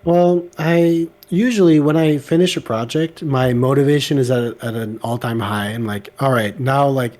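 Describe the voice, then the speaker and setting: A man whose first language is French, chatting casually about making videos; his voice is slightly gravelly, he hesitates and fills with "like", and he is picked up on a laptop microphone. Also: mid-range voice, moderate pace, hardly any pauses, darkish tone. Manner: hesitant, many "like" fillers. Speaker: guest video creator. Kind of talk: casual podcast chat.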